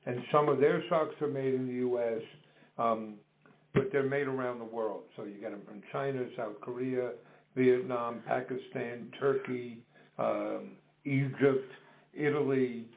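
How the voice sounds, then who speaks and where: Thin, phone-like audio carries a middle-aged or older man. He explains things as an interviewed business owner, in measured, deliberate phrases at an ordinary male pitch. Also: fairly even intonation, creaky. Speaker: business co-founder being interviewed. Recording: dull phone-like call audio.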